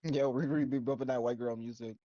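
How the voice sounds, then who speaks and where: A man's voice: medium pitch, steady tone, brisk, warm tone, dry recording, lo-fi sound. A man co-hosting a show reaction, chatting casually in slangy, joking talk and laughing often. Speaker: co-host of a reaction channel.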